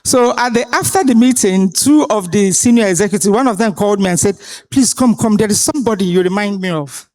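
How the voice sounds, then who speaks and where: A woman's voice: medium pitch, a little mellow. Woman moderating a conference panel. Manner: deliberate, authoritative delivery with pauses. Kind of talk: introducing panelists at a live conference. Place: conference hall stage.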